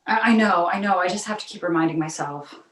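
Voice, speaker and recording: A woman's voice: on the low side, fast, a bit bright, lightly acted. A woman playing an exasperated mother in her thirties in a live comedy table read, protesting as she talks. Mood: weary. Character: exasperated 35-year-old mother of a talking baby. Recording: echoey, distant from the mic.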